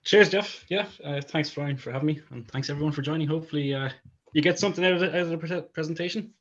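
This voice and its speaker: A man's voice: on the high side, fast. A man giving an informal technical presentation on a video call, often restarting his phrases as he goes. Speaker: technical presenter, DevOps consultant. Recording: dull lo-fi video call audio.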